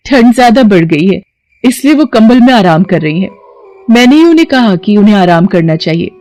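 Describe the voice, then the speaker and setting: A woman voicing a young witch who poses as a meek bride, soft and polite or coldly threatening, in a horror story. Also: mid-range voice, expressive, a little fast, natural voice. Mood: polite, composed. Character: young female witch posing as meek bride, turning menacing. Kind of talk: dramatised Hindi horror story acting.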